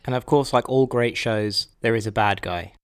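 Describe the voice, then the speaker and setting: A man's voice: on the low side, moderate pace, over loud music. A man, hosting a podcast with scripted personal narration. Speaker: podcast host.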